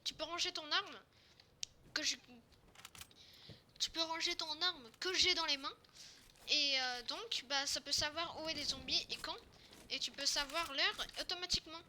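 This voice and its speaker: Woman playing zombie survivor, amateur role-play, very bright piercing voice, eager, halting, faintly noisy homemade recording. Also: high voice. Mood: eager, insistent. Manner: hesitant, halting delivery. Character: young survivor on a zombie apocalypse mission, eager and bossy.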